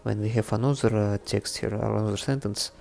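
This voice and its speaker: Gravelly Russian-accented man, flat delivery. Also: on the low side. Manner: halting, thinking aloud. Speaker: programming tutorial presenter. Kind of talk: casual screen-share coding tutorial. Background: background music, typing on keyboard.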